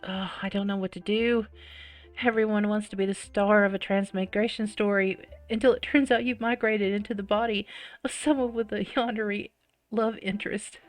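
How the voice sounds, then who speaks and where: Expressive woman reading a game over music. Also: medium pitch, normal speed, no echo. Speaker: video game let's-player. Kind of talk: reading visual novel aloud with reactions.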